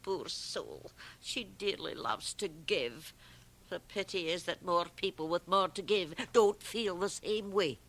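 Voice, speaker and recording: A woman's voice: fairly low pitch, slow, background noise. An actress playing a practical, firm small-town mother in a radio drama, plain and sensible in her talk. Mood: exasperated, pitying.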